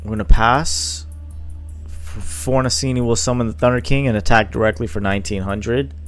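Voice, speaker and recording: A man's voice: mid-range voice, steady tone, normal speed, a bit bright, soft background music. A man giving casual play-by-play commentary on a card game match, thinking aloud with frequent fillers. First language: not Italian.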